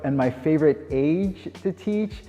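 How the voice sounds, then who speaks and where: A man in his thirties with a Canadian accent, speaking informally and reflectively as if answering interview questions, pausing and rephrasing as he goes. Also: on the high side, warm tone. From Winnipeg, Canada. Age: 30s. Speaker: dance teacher. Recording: long reverb, music underneath. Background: music.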